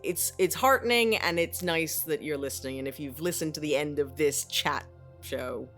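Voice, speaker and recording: A woman chatting casually as a podcast co-host, halting and self-deprecating. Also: sits low, fairly bright tone, soft background music.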